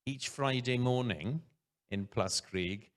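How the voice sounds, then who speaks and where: A man, a head teacher giving an earnest conference talk from a stage, pausing often. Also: medium pitch.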